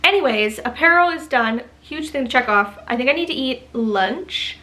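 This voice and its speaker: A young woman chats to her camera in a home studio, her voice sitting a touch high. She rambles through her to-do list with plenty of ums and side remarks, sounding a little stuffy from allergies. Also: expressive, normal speed, pushed and bright. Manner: chatty, rambling, many ums and asides. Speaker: small business owner vlogging. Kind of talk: casual vlog chat to camera.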